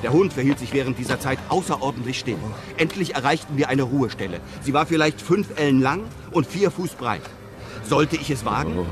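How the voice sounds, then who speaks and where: A man playing a brave, composed European traveller who narrates his desert adventure in measured, literary sentences. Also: mid-range voice, music in the background, close to an everyday voice. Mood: composed, suspenseful. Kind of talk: scripted first-person radio drama narration.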